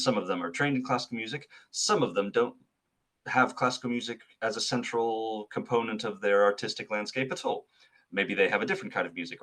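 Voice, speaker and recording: A man's voice: lowish voice, sharp tone. A young man from Switzerland, answering interview questions as a guest in an articulate, thoughtful way with some fillers and restarts. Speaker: associate artist manager, guest.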